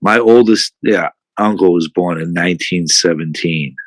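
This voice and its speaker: A man's voice: sits low, moderate pace, no echo. An older man from the United States, a podcast guest who talks in a frank, blunt way and swears casually.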